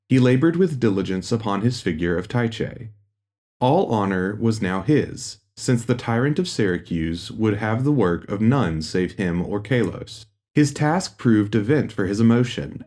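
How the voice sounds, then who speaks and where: A man narrating a gothic horror audiobook in a measured, solemn and formal storytelling manner. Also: lowish voice, darkish tone. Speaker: audiobook reader. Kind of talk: scripted literary narration of a gothic horror tale.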